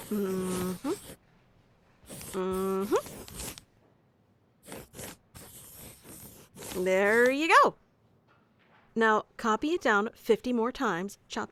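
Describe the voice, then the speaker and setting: A woman's voice: mid-range voice, a bit bright, a little hiss or hum. A woman playing a haughty, easily flustered tsundere young wizard mentor in an ASMR roleplay, bossy and teasing, stammering when flustered. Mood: instructive, smug. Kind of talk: ASMR roleplay acting addressed to the listener.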